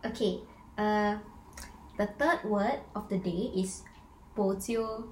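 A woman's voice: mid-range voice, roomy sound, slight background noise. A woman from Singapore co-hosting a casual chat, teaching slang with giggly enthusiasm. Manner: giggly, enthusiastic, quick to laugh. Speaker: co-host.

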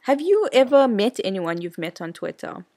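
A woman's voice: medium pitch, big pitch swings, normal speed, darkish tone, no room sound. A woman co-hosting a podcast, chatting in a friendly way and asking the guest questions. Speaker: podcast co-host. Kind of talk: casual podcast chat.

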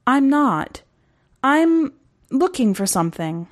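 A woman narrator plays a lost, searching city explorer in an audiobook. The voice is lifted high and sounds polite and hesitant, with slow, careful delivery. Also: big pitch swings, full character voice. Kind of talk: audiobook character dialogue.

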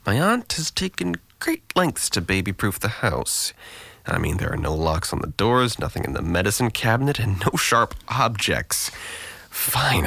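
A man's voice: sits low, gravelly, bright, forceful tone, no room sound, full character voice. An actor playing a depressed, guarded young man in an audio drama, with a dry, weary, self-deprecating manner. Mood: weary, downcast.

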